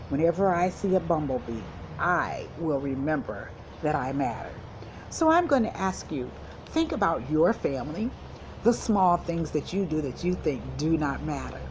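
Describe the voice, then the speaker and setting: Low-voiced woman counselor teaching young children. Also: very animated, background noise. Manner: simple, encouraging address to young children, with deliberate emphasis. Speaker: school counselor reading to children. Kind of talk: storybook read-aloud and lesson talk for children.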